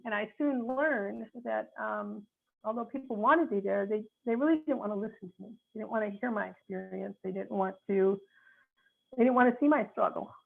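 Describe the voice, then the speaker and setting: Woman, 60s, attorney, from the Midwest, earnest and frank, gravelly, tinny phone-like sound. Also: mid-range voice, normal speed. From North Chicago, USA. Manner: earnest, searching for words, frank. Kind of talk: reflective advice talk.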